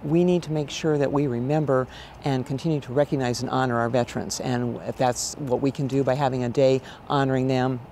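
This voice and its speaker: A woman in her sixties with an American accent and a low, gravelly voice, answering conversationally as an interview guest. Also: fairly even intonation, brisk, warm tone, slight background noise. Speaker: event director, interview guest. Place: large exhibition hall, indoors. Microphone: lapel mic.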